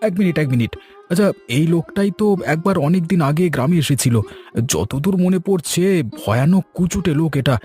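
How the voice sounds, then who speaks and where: A man playing a suspicious but loyal village orchard keeper in a cartoon story, husky and earnest. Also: on the high side, lively intonation, moderate pace, darkish tone, soft background music, close to an everyday voice. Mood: suspicious muttering.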